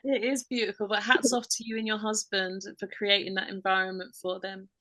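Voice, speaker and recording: A woman's voice: mid-range voice, flat delivery, brisk. A woman hosting a podcast interview, affirming her guest and asking the next question. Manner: warmly affirming, asks questions. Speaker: podcast host.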